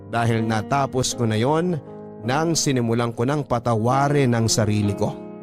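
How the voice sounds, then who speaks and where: A male radio drama host with a mid-range voice narrates a listener's letter. His delivery is scripted, dramatic storytelling, set over clearly audible music. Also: medium pitch. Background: background music.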